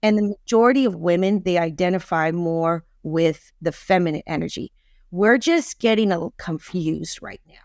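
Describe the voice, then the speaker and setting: A woman's voice: on the low side, normal speed, a little mellow, dry recording. Emphatic woman in her fifties, dry sound. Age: 50s. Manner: emphatic, repeats phrases for stress. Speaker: podcast guest, relationship expert. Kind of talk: casual, opinionated podcast conversation.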